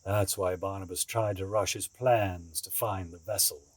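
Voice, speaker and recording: Low-voiced, grave male schemer played by an actor. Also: fairly even intonation, no room sound. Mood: guarded. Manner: measured, cryptic, formal. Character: grave, secretive male schemer, an escaped captive bargaining. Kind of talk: audio drama acting.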